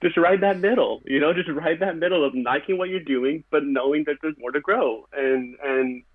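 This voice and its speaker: A man's voice: on the high side, normal speed, no room sound. A man talking earnestly and reflectively as a podcast guest, in short phrases with fillers. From California. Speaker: podcast guest, background painter. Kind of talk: casual conversation. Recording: tinny phone-like line, very dry.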